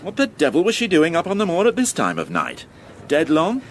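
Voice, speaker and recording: A man's voice: a little high, wildly swooping pitch, brisk, slight background noise. An actor playing a testy, well-off police inspector in a radio crime drama, dry and condescending as he grumbles. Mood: impatient. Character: testy, well-off police inspector who likes his brandy.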